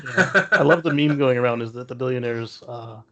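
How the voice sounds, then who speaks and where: A man's voice: mid-range voice, a little fast, fairly bright tone. A man co-hosting a podcast in casual, opinionated discussion, often repeating words as he feels out a point. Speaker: podcast co-host.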